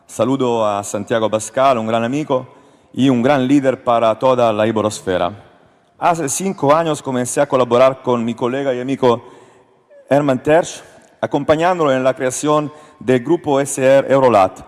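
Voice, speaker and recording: A man's voice: medium pitch, normal speed. An Italian man with an Italian accent, a non-native speaker reading a prepared speech at a forum carefully and with slight halts. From Italy. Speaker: member of European Parliament, panel speaker. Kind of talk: prepared speech read aloud. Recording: long reverb of a conference hall.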